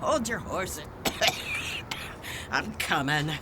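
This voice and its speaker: A woman's voice: low voice, very animated, drawn-out words, heavy background noise, full character voice. A woman playing a kindly, chatty elderly homeowner who rambles in a folksy way in an audio drama, coughing now and then.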